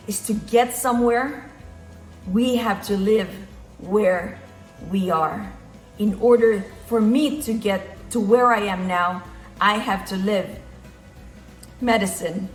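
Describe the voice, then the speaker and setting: Filipino woman, earnest motivational speaker, leans on repetition, mid pitch, reverberant room. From Philippines. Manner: earnest, repeats key phrases for emphasis. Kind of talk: motivational talk.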